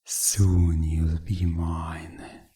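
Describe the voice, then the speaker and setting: A man voicing a menacing, seductive male vampire in a hypnosis roleplay, hushed, taunting and deliberate. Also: a bass voice, level tone, pitched below the performer's own voice, full character voice. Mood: taunting, commanding.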